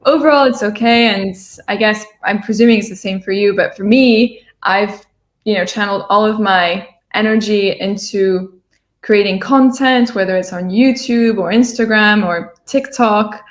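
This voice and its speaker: Informal American-accented woman, medium pitch. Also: normal speed. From Switzerland and Singapore. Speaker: interview guest, fragrance video creator. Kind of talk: casual chat.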